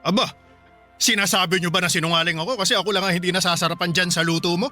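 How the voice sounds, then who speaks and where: Radio drama: hot-headed Filipino father, loud and insulting, very forceful, fast delivery. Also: sits high, fairly even intonation, faint music behind. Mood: angry, scornful. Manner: loud, scornful, argumentative. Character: short-tempered, insulting, bullying Filipino husband and father.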